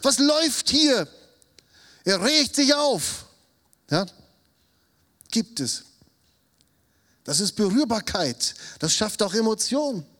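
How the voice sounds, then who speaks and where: A man in his fifties preaching a sermon, animated, acting out quotes with a raised voice. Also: high voice, slow pace, pauses often. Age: 50s. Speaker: pastor.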